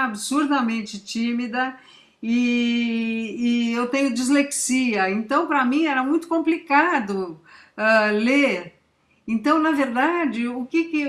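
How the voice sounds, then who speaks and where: An older woman from Italy, a guest writer in a casual interview, reflective and hesitant as she recalls. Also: on the high side. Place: library event. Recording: echoey room.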